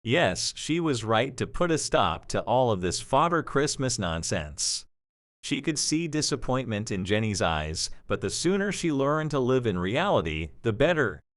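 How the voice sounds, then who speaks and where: Expressive male story narrator, lively intonation. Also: medium pitch, a little slow, dry recording. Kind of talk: scripted dramatic story narration.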